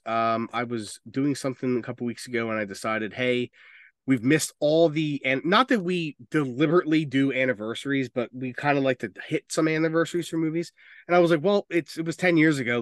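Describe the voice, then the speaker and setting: A man's voice: mid-range voice, dry recording, studio quality. A man hosting a casual movie podcast, talking informally and bluntly, with strong opinions and plenty of swearing. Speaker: podcast host.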